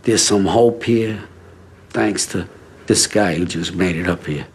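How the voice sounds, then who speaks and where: Slow-talking man in documentary interview. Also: low voice, breathy edge. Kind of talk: reflective interview commentary. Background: faint background music.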